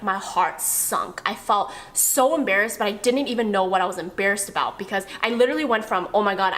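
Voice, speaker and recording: A woman's voice: medium pitch, fairly bright tone. A young woman telling personal stories to camera in a candid, emotional way. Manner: candid and emotional, with acted quotes. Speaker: video storyteller.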